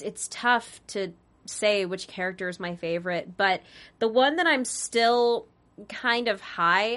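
Bright-voiced young woman, giggly. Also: mid-range voice. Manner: giggly, self-deprecating, rambling with restarts. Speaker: voice actress guest. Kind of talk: casual interview chat.

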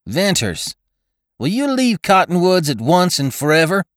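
A man voicing a stern, fanatical male church elder who gives orders in a raised, forceful voice. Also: medium pitch, sing-song, measured pace, no echo, studio quality, pitched above the performer's own voice. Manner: raised, forceful, commanding delivery.

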